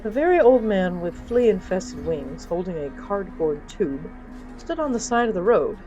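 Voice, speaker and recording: A woman's voice: mid-range voice, sing-song, measured pace, warm tone, highs cut off, soft background music. A woman narrating a scripted comic fantasy story in a theatrical, wry way, with asides to her audience. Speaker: storyteller of a fiction podcast.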